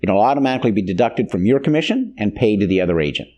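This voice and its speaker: Bright-voiced, low-pitched American man presenting training. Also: expressive, very fast. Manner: plain-spoken, instructional. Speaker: training video host. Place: indoor video studio.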